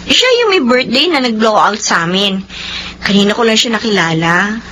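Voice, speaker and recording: A woman's voice: medium pitch, expressive, normal speed, bright, forceful tone, slight background noise. An actress playing a modest, reluctant and dutiful young woman in a Filipino radio drama. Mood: apologetic, explaining.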